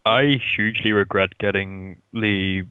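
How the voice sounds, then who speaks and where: Man, fairly low voice, casual podcast host, phone-like narrow sound, gaming chat. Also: fairly even intonation, a little slow, clean tone. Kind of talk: casual chat about video games. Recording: tinny phone-like call line.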